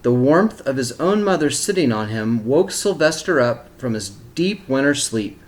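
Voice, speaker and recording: Lively man reading to young children. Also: medium pitch, normal speed. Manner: expressive, explains pictures and words to young children. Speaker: kindergarten teacher reading a picture book aloud. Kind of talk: animated children's storybook read-aloud with asides.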